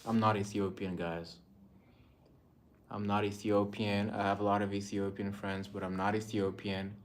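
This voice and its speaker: A young man from Rwanda talks loosely into a phone on a home livestream. His voice sits a little low, and he rambles, repeats himself and answers viewers' comments as they come in. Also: flat delivery, normal speed. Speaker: artist livestreaming. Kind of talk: casual livestream chat. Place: indoors at home among his paintings. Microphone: phone camera, moving around.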